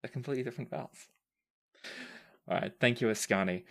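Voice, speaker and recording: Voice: medium pitch, fairly quick, gravelly. A podcast host and game master reading listener questions aloud and chatting casually, in a conversational, playfully emphatic way.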